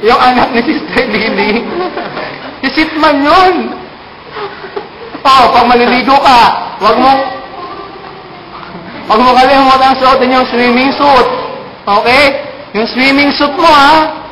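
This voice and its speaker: Jokey puppet played by a performer, Filipino accent, unhurried delivery, repeats punchlines, roomy sound. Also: high voice, sharp tone, heavily acted. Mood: playful. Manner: teasing, repeats punchlines and explains them. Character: jokey puppet sending mangled-word greetings to a friend. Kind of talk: playful puppet show greeting, casual. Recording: roomy echo, faint noise.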